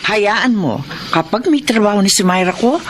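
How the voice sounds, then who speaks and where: A woman's voice: medium pitch, lively intonation, normal speed, slight background noise, natural voice. An actress playing a boastful, chatty middle-aged mother in a Filipino radio drama, with an effusive Filipino way of speaking. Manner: bragging, effusive.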